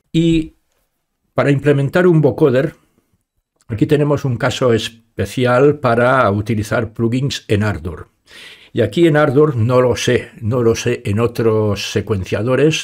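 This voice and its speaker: A man's voice: medium pitch, darkish tone. A man in his sixties with an accent from Spain, presenting an informal screencast tutorial in an explanatory way, repeating himself for emphasis. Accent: Spanish (Spain). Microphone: studio microphone close up, headphones on.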